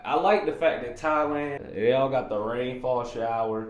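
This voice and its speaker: A man's voice: medium pitch, a little slow, muffled recording. A man hosting a vlog, giving a loose, slangy running commentary as he tours an apartment. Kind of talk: casual tour commentary. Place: apartment and rooftop, indoors and out. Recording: noisy handheld video audio.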